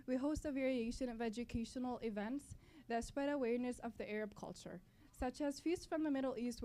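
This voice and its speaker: Young woman, Palestinian-born, native Arabic speaker, slightly high voice. Student club speaker addressing an event, a little hesitant. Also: mellow. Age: young adult. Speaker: student club board member giving introduction. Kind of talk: welcome speech to an audience. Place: college library event.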